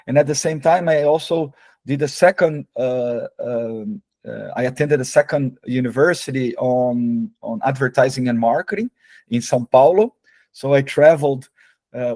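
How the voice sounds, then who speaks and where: A man's voice: mid-range voice, a little mellow, no room sound. Young Brazilian man, Portuguese accent, hesitant non-native interview guest, dry sound. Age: young adult. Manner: hesitant, repeats words and uses many fillers. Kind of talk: casual interview.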